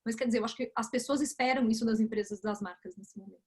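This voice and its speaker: A woman's voice: fairly high pitch, rapid-fire, a little mellow, breathy edge. A woman speaking as a panelist over a video call, making her points emphatically with examples. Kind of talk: webinar panel discussion.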